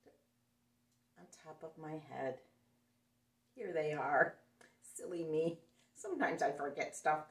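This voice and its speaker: Woman, storybook reader, sing-song, animated, low voice, roomy, a bit off-mic. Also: takes it slowly, pauses often. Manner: sing-song, playful, expressive. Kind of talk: reading a picture book aloud to children. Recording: echoey room, mic some distance away.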